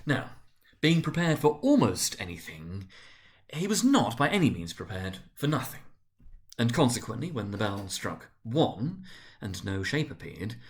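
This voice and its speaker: A man reading a story aloud as narrator, in a dramatic literary style with theatrical, deliberate phrasing. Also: mid-range voice. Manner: theatrical, deliberate phrasing with emphatic swells and hushed drops.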